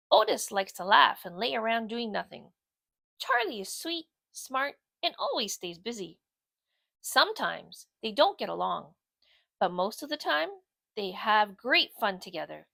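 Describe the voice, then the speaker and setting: A woman narrates a children's picture book with very animated intonation. Her voice sits slightly high with a creaky edge, and she takes her time over each phrase in an expressive storytelling manner. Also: no room sound, studio quality.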